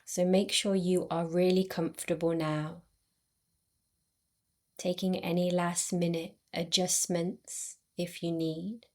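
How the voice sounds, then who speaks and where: A woman guiding a yoga nidra relaxation in a soothing, unhurried way, with long pauses between short phrases. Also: on the low side, level tone, a little mellow. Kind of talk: guided meditation.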